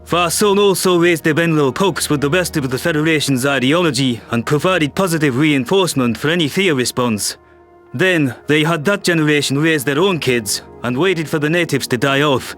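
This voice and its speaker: A man's voice: fairly high pitch, normal speed, fairly bright tone, natural voice. A man playing an authoritative, formal Secretary General in an audio drama. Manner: formal, declarative. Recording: music bed under voice.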